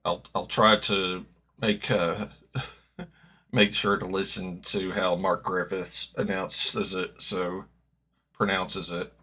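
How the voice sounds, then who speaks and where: Guy streaming a footy game, bit gravelly, bright edgy tone, lowish voice. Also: level tone, slow. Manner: rambling, self-correcting, hopeful fan. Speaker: streamer reacting to a football match. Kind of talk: casual live-stream chat.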